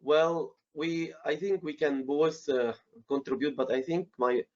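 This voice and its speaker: A man losing his voice, moderating a lecture Q&A over a video call, halting with fillers and restarts. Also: a little high, steady tone, darkish tone, dry recording. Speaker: course director and moderator. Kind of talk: online lecture Q&A. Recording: dull muffled web call audio.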